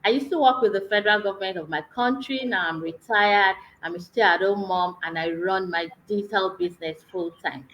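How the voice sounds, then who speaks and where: A middle-pitched woman introducing herself on an online panel, faint noise coming through from her end of the call. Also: a little fast, hardly any pauses, dull audio. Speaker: podcast co-host. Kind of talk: brief self-introduction.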